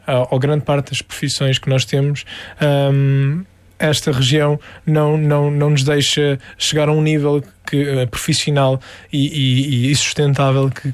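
A man's voice: medium pitch, no room sound. Hesitant young Portuguese man. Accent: European Portuguese. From Algarve, Portugal. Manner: thoughtful, many hesitations and restarts. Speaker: musician guest. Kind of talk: casual interview.

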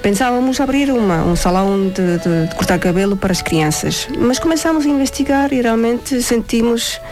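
A woman from Estonia speaking Portuguese as a second language with an Estonian accent, a guest answering interview questions and often pausing to find words. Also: fairly high pitch, lively intonation, over music. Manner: searches for words, many short agreeing replies. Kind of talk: interview conversation.

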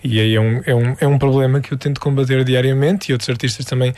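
A man's voice: mid-range voice, little pitch movement, fast talker, a little mellow, no echo. Earnest young Portuguese man, dry sound. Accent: European Portuguese. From Algarve, Portugal. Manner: earnest, thoughtful, many hesitations and restarts. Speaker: musician guest. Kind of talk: interview conversation.

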